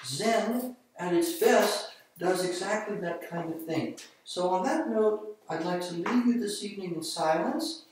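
Calm, meditative voice in an echoey room. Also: mid-range voice, recorded from a distance, noisy background. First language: not Japanese. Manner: slow, calm, meditative delivery. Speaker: vlogger. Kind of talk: reflective monologue on a Zen koan. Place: small room with bare walls and tiled floor, indoors. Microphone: far camera on the floor.

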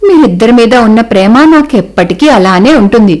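Woman playing an older mother-in-law, pitched down, patient, reassuring, gentle, cartoon story. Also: brisk, natural voice. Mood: gently admonishing. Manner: calm, reasoning, reassuring. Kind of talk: cartoon moral-story dialogue.

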